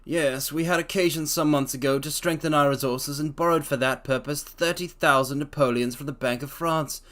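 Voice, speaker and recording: A man voicing a solemn, fussy older bank director who speaks in a hushed, anxious, formal way. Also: fairly high pitch, fairly even intonation, moderate pace, natural voice.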